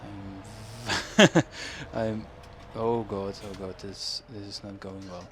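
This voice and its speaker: A man's voice: on the low side, flat delivery, slow pace, fairly bright tone. A young man with a Dutch accent giving rambling, self-correcting commentary over farming game footage, chuckling now and then. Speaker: gaming YouTuber. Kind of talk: casual gameplay commentary. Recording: game engine noise behind voice. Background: farm machinery and ambient game sounds.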